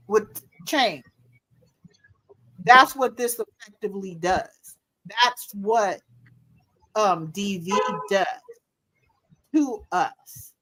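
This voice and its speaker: A woman's voice: fairly high pitch, sharp tone, low-quality recording. Casual slangy woman hosting a stream. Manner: casual, slangy, self-mocking. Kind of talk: casual livestream talk.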